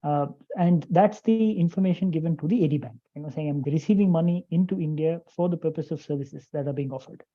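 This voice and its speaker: A guest on a business webinar over a video call, explaining compliance rules in a measured, careful way. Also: medium pitch. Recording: dull lo-fi call audio.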